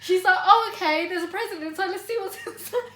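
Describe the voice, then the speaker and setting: A high, bright-voiced young woman telling a story to her siblings, animated and laughing often. Her voice rings in an echoey room. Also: brisk, slight background noise. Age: 20s. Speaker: vlogger. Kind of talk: casual sibling chat.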